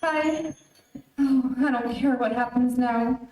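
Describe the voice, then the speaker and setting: Woman playing high, plaintive leading lady, echoey. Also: steady tone, slow pace, a little mellow. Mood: distraught. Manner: plaintive, melodramatic. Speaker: amateur radio play actor. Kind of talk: old-time radio acting. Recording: echoey, distant streamed stage audio.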